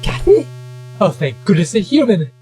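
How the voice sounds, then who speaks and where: A man's voice: high-pitched, big pitch swings, moderate pace, mellow, soft background music. A man playing a wry, wordy young companion in an audio drama, prone to sarcasm and self-pity. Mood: relieved. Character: sarcastic, self-pitying young male companion, amateur psychoanalyst.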